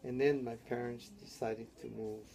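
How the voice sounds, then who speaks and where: An older man, his voice gravelly and mellow as he recalls his childhood at a loose, wandering pace. He keeps checking in with "yeah?", and faint noise hums under the recording. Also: medium pitch, fairly even intonation, normal speed, low-quality recording. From Hawaii. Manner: rambling, tags statements with "yeah?". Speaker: interviewee. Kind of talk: oral history interview.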